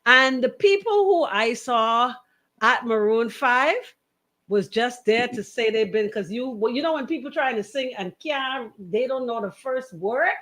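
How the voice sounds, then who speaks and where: A woman's voice: on the high side, lively intonation, measured pace, fairly bright tone. A woman with a Bahamian accent hosting casual, opinionated radio banter in an emphatic, colloquial way, often throwing out rhetorical questions. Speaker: radio talk show host. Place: radio studio.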